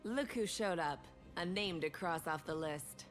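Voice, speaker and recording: A woman's voice: fairly low pitch, measured pace, close to an everyday voice. An actress voicing a confident, vengeful female bounty hunter in a video game, sardonic and swaggering. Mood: cool menace. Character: confident vengeful gunslinger bounty hunter woman, cool and taunting. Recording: game audio with music behind.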